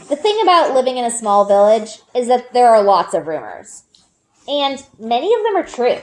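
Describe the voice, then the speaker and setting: A woman reading a children's book aloud in an expressive storytelling style. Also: a little high, poor audio. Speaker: read-aloud reader. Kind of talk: expressive children's book narration, first person. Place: group read-aloud with elementary pupils.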